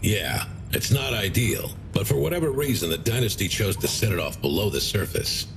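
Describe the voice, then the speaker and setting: Gravelly, animated military colonel. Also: male voice, on the low side, moderate pace. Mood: firm, defensive. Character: gruff male military colonel, firm and defensive. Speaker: military officer. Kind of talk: video game cutscene acting. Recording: loud music behind.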